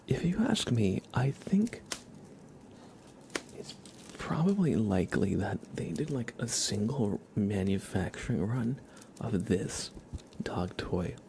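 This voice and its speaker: A man hosting an ASMR livestream, chatting gently and haltingly to viewers in a soft, whispery murmur. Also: on the low side, gravelly, slight background noise. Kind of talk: ASMR, casual chat with stream viewers.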